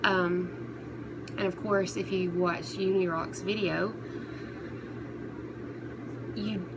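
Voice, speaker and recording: A woman giving casual, unscripted commentary on online drama, speaking in halting phrases that trail off and restart. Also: fairly low pitch, fairly even intonation. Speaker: commentary vlogger. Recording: clearly noisy recording, background ambience.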